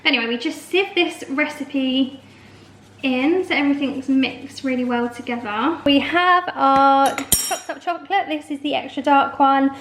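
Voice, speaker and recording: Female home-baking vlogger, high voice with slight hoarseness, casual and explanatory, roomy sound with faint noise. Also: normal speed, fairly bright tone. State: slightly hoarse from a long call. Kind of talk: casual chatty baking vlog narration. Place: home kitchen. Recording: echoey room, faint noise, clicks.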